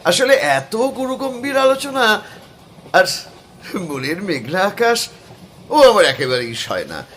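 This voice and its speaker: A man voicing a lively young storyteller. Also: high-pitched, slow, a little hiss or hum, exaggerated voice. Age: young adult. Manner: expressive, storytelling, literary. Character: cheerful young male art student narrating. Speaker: narrator and art student. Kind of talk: dramatic audio-drama narration.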